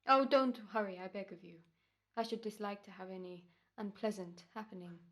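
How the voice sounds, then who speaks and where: A woman's voice: mid-range voice, brisk, lightly acted. A woman playing a formal, courteous and menacing doctor in an old-time radio drama. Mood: polite menace. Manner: courteous, precise, ominous. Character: smooth, menacing, formal doctor turned murderer in radio rehearsal.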